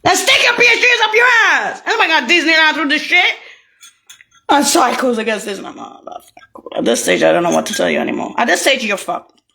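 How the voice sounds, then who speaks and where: Lively-pitched woman in a profane, rambling rant. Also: a little fast, gravelly. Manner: profane, scattered ranting with sudden shouts. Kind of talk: rambling solo monologue.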